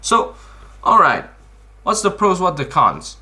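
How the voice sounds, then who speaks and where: A man's voice: sits high, wildly swooping pitch, takes it slowly, pushed and bright. A man giving casual explanatory commentary as a video host, in a blunt, informal, emphatic way, often asking questions and answering them himself.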